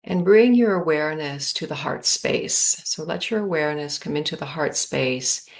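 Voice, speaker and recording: A woman leading a guided meditation, speaking calmly in spacious phrases with long pauses. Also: low voice, gravelly.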